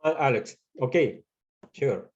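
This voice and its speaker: Slow-speaking Chilean man, slightly muffled. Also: medium pitch, expressive, darkish tone. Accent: Chilean Spanish. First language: Spanish. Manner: halting non-native English. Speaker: pest control specialist presenting. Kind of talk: webinar presentation.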